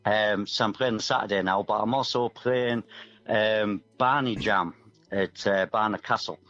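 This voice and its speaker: A man's voice: fairly low pitch, measured pace, fairly bright tone. A man chats casually as a musician guest, in a rambling, self-deprecating way. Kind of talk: casual radio interview chat. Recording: dull, muffled audio over music.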